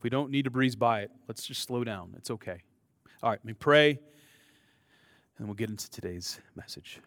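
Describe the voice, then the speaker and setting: An American man preaches a sermon in a loose, conversational way, joking at his own expense and stumbling over restarts and fillers. His voice sits at an ordinary middle pitch. The sound is dry and close, with no room echo. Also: lively intonation, normal speed, a bit bright. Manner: conversational, self-deprecating, frequent fillers and restarts. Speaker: pastor.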